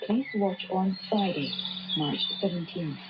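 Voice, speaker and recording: A woman's voice: lowish voice, a little slow, heavy background noise. A woman reading scripted promotional narration for a TV program, heard over a lo-fi shortwave broadcast. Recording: dull lo-fi shortwave radio broadcast. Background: music and animal sounds.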